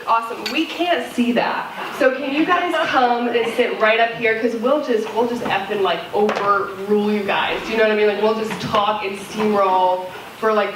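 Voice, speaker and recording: A woman speaking as a panelist in a candid, informal, slangy and emphatic way. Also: medium pitch. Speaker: panelist sharing personal recovery story. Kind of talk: casual, candid personal talk. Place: live panel event on a lit stage. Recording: echoey room, distant mic, clear noise.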